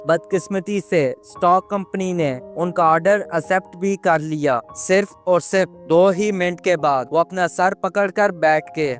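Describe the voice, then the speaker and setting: Male narrator for scripted explainer documentary, slightly high-pitched voice, music underneath.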